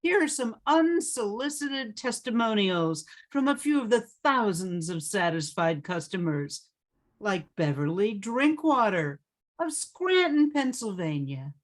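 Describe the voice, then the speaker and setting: A woman's voice: medium pitch, very animated. A woman playing an enthusiastic, emphatic huckster announcer in an old-time radio commercial parody. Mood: enthusiastic, selling. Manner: emphatic salesmanship, drawn-out product name.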